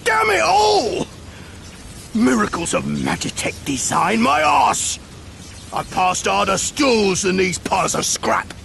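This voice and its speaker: An actor playing an arrogant, sneering, hot-tempered imperial military villain in a video game cutscene, gloating and blustering. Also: male voice, high voice, huge pitch swings, takes it slowly, creaky, fairly bright tone, raised voice, slight background noise, full character voice. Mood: furious.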